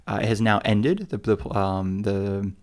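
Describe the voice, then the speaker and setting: A man's voice: on the low side, unhurried, a little mellow. A man co-hosting a travel podcast in casual chat, rambling and joking at his own expense. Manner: rambling, self-mocking, full of fillers and restarts. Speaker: podcast co-host.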